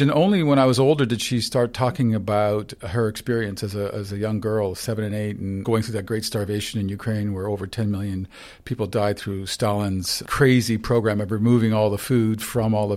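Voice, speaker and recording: A man's voice: on the low side, darkish tone. A Canadian man in his sixties, a photographer answering interview questions in an earnest, rambling way with frequent restarts. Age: 60s. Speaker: photographer guest.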